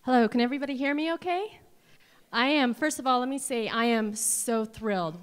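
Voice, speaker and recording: A woman in her thirties speaks at a lectern in a big ballroom and tells her career story in a friendly, excited way. Her voice sits somewhat high and sounds casual rather than scripted. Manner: enthusiastic, conversational. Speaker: keynote speaker. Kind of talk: personal career talk. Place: large hotel ballroom stage. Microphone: lectern microphone.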